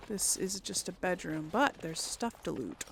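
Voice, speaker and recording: A woman's voice: fairly low pitch, lively intonation, a little slow, gravelly, noisy background. A woman hosting a casual let's play, thinking aloud and often trailing off into mutters. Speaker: gaming video host.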